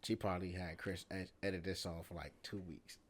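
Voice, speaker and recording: A man with a low, deep voice talks in an easygoing, slang-heavy way. He chats casually and laughs often between stories. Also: little pitch movement, brisk. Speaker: rapper and interviewer. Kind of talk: casual chat.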